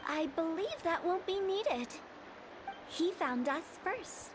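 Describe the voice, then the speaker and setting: A woman's voice: very high voice, big pitch swings, slow, background noise, full character voice. A woman voicing a confident, wry teenage funeral director in a video game, with a girlish voice. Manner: self-assured, wry, explanatory.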